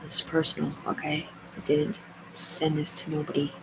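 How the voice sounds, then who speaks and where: A woman's voice: low voice, completely flat delivery, moderate pace, gravelly, background noise. A woman livestreaming, rambling as she reads aloud and makes asides to herself and her viewers. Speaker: live streamer.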